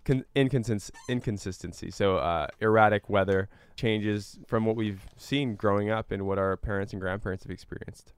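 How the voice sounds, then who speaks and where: A man speaking as an interview guest, explaining step by step in a measured, conversational way with careful qualifications. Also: fairly low pitch, clean tone. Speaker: interview guest, climate advocate.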